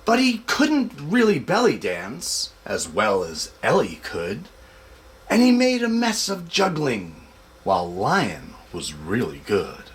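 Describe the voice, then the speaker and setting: A man hosting a show for families and reading a children's picture book aloud in an expressive, measured way. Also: mid-range voice. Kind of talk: casual introduction, then expressive storybook read-aloud.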